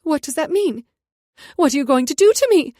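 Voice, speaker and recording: A woman's voice: a little high, sing-song, a little slow, warm tone, dry recording, studio quality, voice pushed up. A woman doing a taunting, spiteful young woman. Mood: panicked. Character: cool-voiced young woman, spiteful and mocking.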